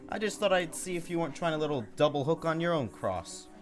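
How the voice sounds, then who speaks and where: Man playing sardonic high-pitched crook with swooping delivery. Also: animated, normal speed, faint music behind, lightly acted. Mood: sneering, suspicious. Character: sneering suspicious crook accomplice, needling his partner. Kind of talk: radio play acting.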